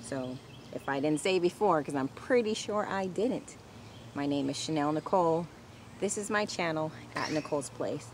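A woman's voice: sits low, expressive, normal speed. A middle-aged woman vlogger telling a personal story casually to a camera outdoors. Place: outdoors in a home garden. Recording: clear outdoor ambient noise.

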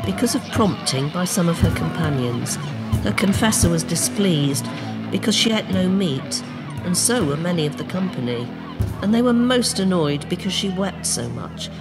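Storyteller reading over plucked guitar music. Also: mid-range voice. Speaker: storyteller, narrator. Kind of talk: dramatic reading of a medieval book, storytelling. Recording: music behind the voice.

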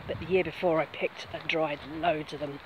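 A middle-aged woman talks casually while she walks outside, pointing things out as she goes. She speaks into a phone held close to her face. Clear outdoor hiss and wind sit under her voice. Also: deep voice, steady tone, moderate pace, lo-fi sound. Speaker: vlogger. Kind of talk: casual walk-and-talk vlog. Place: outdoors on a grassy field by bushes. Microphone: phone camera held close.

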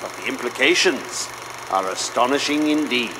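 Man acting as pompous British gentleman adventurer, old documentary narration, lively swooping delivery, noisy film-reel backing. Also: mid-range voice, normal speed, close to an everyday voice. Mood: pompous, self-important. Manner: pompous, theatrical, grandiose.

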